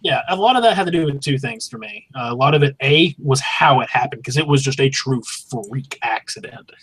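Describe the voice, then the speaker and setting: A man's voice: mid-range voice, lively intonation, fairly quick, fairly bright tone, husky, low-quality recording. A man joining a casual wrestling podcast as co-host, laid-back, mostly chiming in with short replies.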